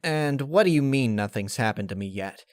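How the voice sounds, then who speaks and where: A man's voice: mid-range voice, animated, normal speed, no echo, clean studio sound, voice pushed up, lightly acted. A man voicing a casual, bemused young tomboyish female pegasus pony in a story reading. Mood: confused, casual. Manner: casual, questioning.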